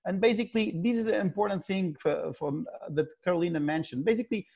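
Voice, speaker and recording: A man's voice: high voice, vocal fry, a little mellow. A middle-aged man with a Portuguese accent, speaking as a guest on a video call, often stalling on repeated words. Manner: halting, many repeated "and" restarts. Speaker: sailing company CEO, guest.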